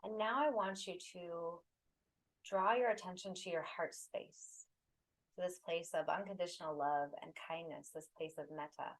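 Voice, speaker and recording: A woman leading a guided meditation, giving soothing, unhurried instructions in short phrases with pauses between them. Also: fairly low pitch, steady tone, low-quality recording.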